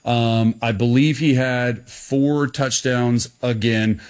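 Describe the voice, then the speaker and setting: A man's voice: medium pitch, steady tone, slow, darkish tone. A man, a former football player, giving emphatic podcast analysis full of praise.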